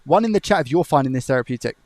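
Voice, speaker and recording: A man live-streaming electronics repair, soft-spoken and chatty, talking calmly to his chat as he works. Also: medium pitch, rapid-fire, no echo. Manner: calm, chatty, talks to the chat while working.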